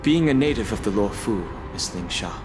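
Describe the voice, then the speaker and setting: A man's voice: lowish voice, lively intonation, fairly quick, over music, natural voice. A male actor playing a grave, dutiful warrior officer in a video game, explaining things formally and seriously. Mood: grave, explanatory.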